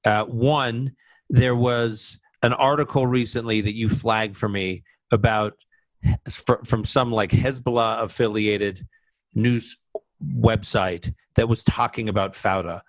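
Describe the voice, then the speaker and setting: A man hosting a live conversation, moderating questions from the audience in a brisk, eager way that keeps jumping ahead. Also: lowish voice, fairly even intonation, dry recording, rough recording. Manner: brisk, eager, quick to jump in. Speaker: interviewer and host.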